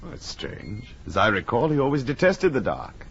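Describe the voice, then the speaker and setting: An actor playing an uneasy, well-bred gentleman in a gothic horror radio drama of the 1940s, formal and dramatic. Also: mid-range voice, huge pitch swings, moderate pace. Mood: uneasy, polite. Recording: muffled, faintly noisy old radio recording.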